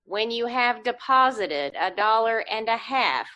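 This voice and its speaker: A woman playing a polite, formal long-distance telephone operator in an old-time radio drama, speaking in a measured, official way. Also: on the high side, expressive, sharp tone, lo-fi sound. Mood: formal, businesslike.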